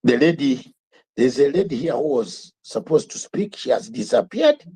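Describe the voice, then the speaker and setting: A man's voice: mid-range voice, big pitch swings, normal speed, warm tone, breathy edge, low-quality recording. An older man hosting a live online talk show, speaking emphatically with repetitions and rhetorical questions. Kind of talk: fiery live commentary.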